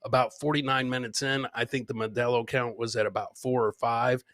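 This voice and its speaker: A man's voice: medium pitch, fairly even intonation, brisk, a bit bright, no room sound, studio quality. A man hosting a live comedy podcast, chatting informally with viewers and swearing casually. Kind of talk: casual live show chat.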